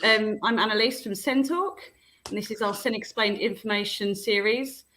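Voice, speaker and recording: A woman's voice: medium pitch, moderate pace, bright, forceful tone, a little hiss or hum, rough recording. A woman hosting and introducing a webinar guest over a video call, friendly, with many ums. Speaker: host.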